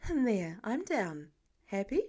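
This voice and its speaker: A woman's voice: mid-range voice, big pitch swings, takes it slowly, dark tone. A woman voicing an exasperated, teasing wife in a story, with a wry, firm manner.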